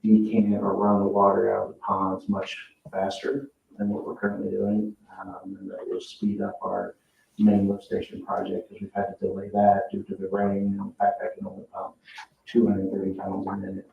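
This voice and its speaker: A man's voice: lowish voice, creaky. A man giving a matter-of-fact, informal report as a town official at a public meeting, explaining spending requests. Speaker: town utility official presenting spending items to council. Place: indoor council meeting room. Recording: echoey, distant, dull meeting-room audio, faint noise.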